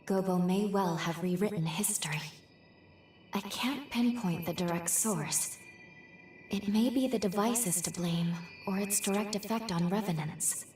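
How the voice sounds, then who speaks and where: A woman acting a worried, earnest guide in a video game cutscene, speaking formally and gravely. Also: mid-range voice, measured pace, natural voice. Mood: grave urgency. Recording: echoey, distant voice over soft music.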